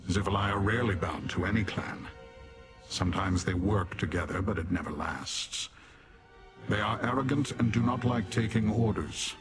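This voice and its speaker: A proud demon warrior from a fantasy game with a low voice. He speaks formally and in measured phrases, stern and disdainful toward mortals. Music plays clearly under the voice. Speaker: non-player character in fantasy game. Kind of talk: scripted video game dialogue.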